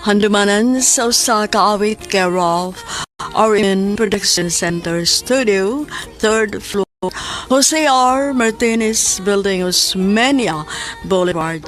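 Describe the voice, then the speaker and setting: A woman's voice: medium pitch, takes it slowly. A woman with a Cebuano accent reading scripted narration as a radio drama announcer. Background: light music.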